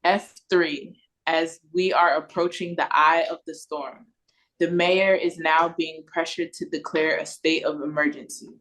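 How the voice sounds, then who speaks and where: Woman as a formal, lowish-voiced radio newscaster. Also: fairly even intonation, measured pace, a bit bright, rough recording. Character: formal local radio newscaster giving storm warnings. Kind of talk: radio news reading in audio play. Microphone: video call.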